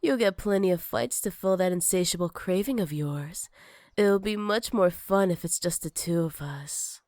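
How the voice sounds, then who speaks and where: Woman playing a possessive, teasing villainess, low-voiced. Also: moderate pace, darkish tone, dry recording, studio quality, natural voice. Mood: jealous, menacing. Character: possessive, jealous female villain with magic, teasing and menacing. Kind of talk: ASMR roleplay to the listener.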